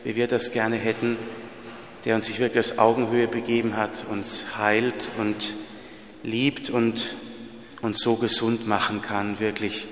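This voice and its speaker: A man's voice: fairly low pitch, flat delivery, measured pace, a little hiss or hum. A man preaching a sermon in a plain, earnest way, with a slight stutter and repeated words. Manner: earnest, plain-spoken, rising to emphatic peaks. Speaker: priest. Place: church. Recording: echoey church hall.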